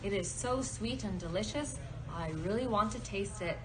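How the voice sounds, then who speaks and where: A woman's voice: mid-range voice, normal speed, over music, close to an everyday voice. A woman voicing a small, mischievous boy in a live puppet-show story, eager and childlike. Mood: eager, wondering. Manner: eager, childlike pleading and wondering. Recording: noisy live recording.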